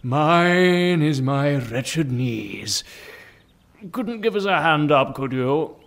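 An actor playing a humble, pious old friar who preaches in mock-archaic speech in a comic audio drama. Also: male voice, on the high side, expressive, extremely slow, vocal fry, fairly bright tone, heavily acted. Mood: sanctimonious.

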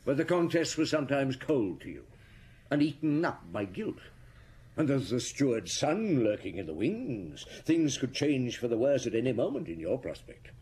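An actor playing a shrewd, methodical chief inspector in a radio drama, probing and deliberate with a husky voice. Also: male voice, mid-range voice, sing-song, a little mellow. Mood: methodical, pressing. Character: shrewd, methodical male police chief inspector laying out accusations. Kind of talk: BBC radio drama acting.

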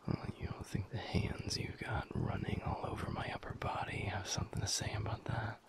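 A man playing a teasing, affectionate alpha werewolf boyfriend in an intimate audio roleplay, speaking in a breathy, husky near-whisper. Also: close to an everyday voice. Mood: tender teasing. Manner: playful teasing with soft chuckles and unhurried pauses.

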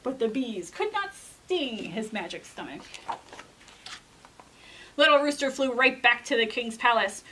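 A young woman storyteller giving an expressive, deliberate, emphatic dramatic read-aloud for children. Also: on the high side, a little hiss or hum, low-quality recording. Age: young adult. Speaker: library storyteller. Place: indoor library room with bookshelves.

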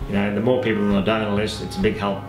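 An older man talking casually in an interview, halting and often repeating his words. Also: fairly low pitch, completely flat delivery, echoey room, music in the background, low-quality recording. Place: indoor room, plain wall.

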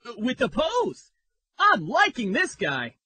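A man playing an excitable cartoon sports commentator with an exuberant delivery. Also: very high-pitched, slow, breathy edge, dry recording, heavily acted. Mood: excited. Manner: exuberant, exclamatory. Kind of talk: play-by-play sports commentary parody.

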